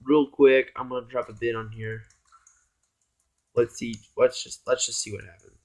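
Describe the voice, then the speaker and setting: A hoarse man giving casual, rambling gameplay commentary as a YouTuber, often addressing his viewers as guys. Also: mid-range voice, little pitch movement, moderate pace, a little mellow. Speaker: gaming YouTuber.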